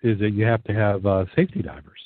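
A man's voice: fairly low pitch, quick pace, gravelly, no echo. A man co-hosting a casual talk show, easygoing and a little hesitant as he reads news items aloud. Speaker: co-host reading news items. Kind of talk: casual discussion and reading articles aloud. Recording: dry, tinny phone-like sound.

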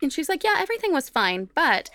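A woman's voice: sits high, fast. A woman co-hosting a podcast, telling a story casually and acting out what people said as she goes. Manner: animated retelling, acts out quoted remarks. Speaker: podcast co-host.

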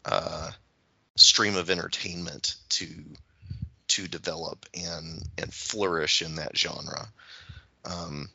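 A man with a very low voice and a hard, forceful edge. He talks as a podcast panelist, feeling his way through sentences and repeating words as he goes. The recording is dry and a little dull. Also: gravelly, dry recording. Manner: halting, searching phrasing with repeated words. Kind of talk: reflective podcast discussion.

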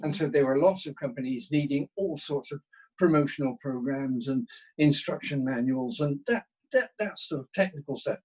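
Older male interview guest, higher-pitched with a husky grain, modest, hesitant and conversational. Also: darkish tone, low-quality recording. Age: 60s or older. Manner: self-deprecating, hesitant, thoughtful, rephrasing as he goes.

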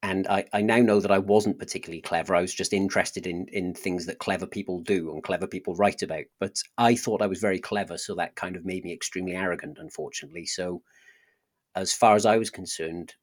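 A man's voice: sits low, steady tone, fast talker. A man with a Welsh accent, a podcast guest telling his life story in a hesitant, conversational way with frequent restarts. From South Wales. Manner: hesitant, many restarts and ums. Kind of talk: conversational interview.

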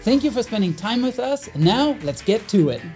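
Male announcer, high pitch, polished scripted intro, faint music underneath. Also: sing-song, brisk. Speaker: podcast host.

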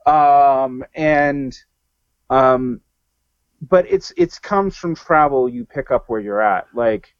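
A man's voice: medium pitch, slow, clean tone, dry recording, highs cut off. A man from the American South talking as a podcast guest in loose discussion, full of fillers and restarts. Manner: rambling, many fillers and restarts. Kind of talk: casual discussion.

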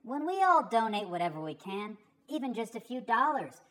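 A woman puts on a tiny know-it-all boy elf from a Christmas storybook. The voice sits very high and bounces around, eager and precise as he explains. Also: sing-song, moderate pace, a bit bright. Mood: hopeful. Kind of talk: rhyming Christmas storybook reading.

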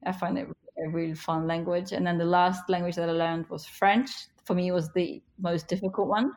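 A woman in her thirties, a native speaker of Russian and Ukrainian, gives interview answers with slow, emphatic stresses. She sounds encouraging and earnest, and her voice sits fairly low. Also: steady tone, a little mellow. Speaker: guest, author and business leader.

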